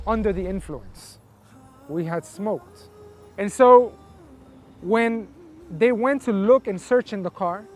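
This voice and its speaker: High-voiced man telling his story. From Colombia. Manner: reflective, frequent "like" fillers. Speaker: interviewee. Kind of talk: personal testimony, casual storytelling.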